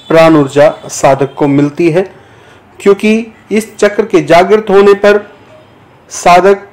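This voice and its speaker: Slow-speaking, higher-pitched man guiding meditation. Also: a little mellow, low-quality recording. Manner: measured, deliberate, pausing between short phrases. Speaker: yoga teacher guiding a meditation. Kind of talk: guided yoga nidra instruction, spoken slowly.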